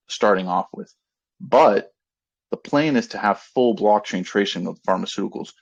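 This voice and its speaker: Low, gravelly man on a dull call line. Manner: halting, rambling, repeats and rephrases himself. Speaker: company executive. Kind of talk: informal business talk to investors on a conference call. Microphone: conference call line. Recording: dull, lo-fi conference call audio.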